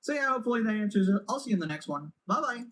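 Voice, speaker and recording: A man's voice: sits high, expressive, very fast, a bit bright. A young man with an American-sounding accent, talking to camera as a YouTuber in a rambling, self-mocking way. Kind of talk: casual talk to camera.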